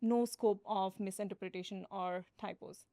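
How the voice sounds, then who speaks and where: A woman's voice: mid-range voice, fairly even intonation, normal speed, mellow, dry recording, studio quality. A woman founder as a podcast guest, explaining steadily with frequent fillers. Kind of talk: conversational interview.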